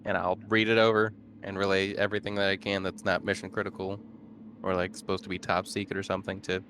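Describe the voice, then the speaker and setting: Low-voiced man playing a tabletop game. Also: completely flat delivery, fast, noisy background. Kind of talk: casual chat.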